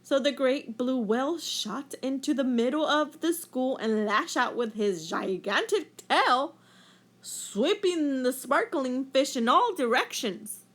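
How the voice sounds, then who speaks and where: Casting: female storyteller, high voice, very lively intonation, expressive picture-book narration for children. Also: slow. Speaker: teacher reading a story aloud.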